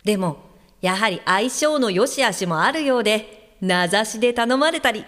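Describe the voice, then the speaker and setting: A woman's voice: mid-range voice, animated, moderate pace, a bit bright, lightly acted. A woman playing a perky, nosy guide helper with a teasing, exclamatory, slangy way of talking in an audio drama. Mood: reflective. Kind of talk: audio drama acting with narration.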